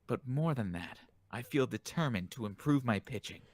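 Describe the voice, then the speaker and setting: A man's voice: mid-range voice, expressive, normal speed, no echo. A man casually reading manga lines aloud and reacting as a co-reader in an amateur dub.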